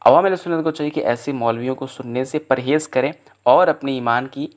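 A man's voice: mid-range voice, fairly quick. A man delivering polemical religious commentary in an admonishing, explanatory manner.

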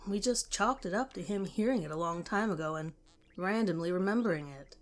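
A woman's voice: fairly low pitch, expressive, brisk. A woman reading a scripted first-person story as a narrator.

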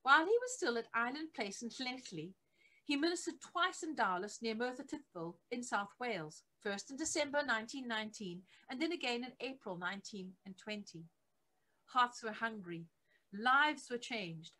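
A middle-aged woman reading a biography aloud as scripted narration, measured and with emphasis on key phrases. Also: fairly high pitch, sharp tone. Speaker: reader of a church history book. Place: attic home office, indoors.

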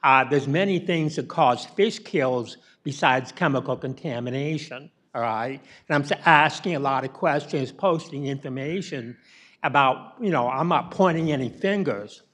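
A man's voice: medium pitch, moderate pace, fairly bright tone. A middle-aged man asking a question from the audience, rambling and tagging his sentences with okay and all right. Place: conference ballroom. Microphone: handheld mic.

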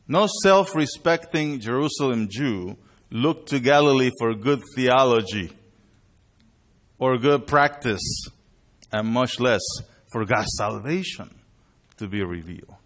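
A middle-aged man, born in Chile and raised in Panama, preaching at a lectern in a measured, deliberate way. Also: medium pitch, animated, fairly bright tone. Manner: measured, deliberate delivery with bilingual openings. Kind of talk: sermon at a worship conference. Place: indoor hall with a screen. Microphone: lectern mic, far camera.

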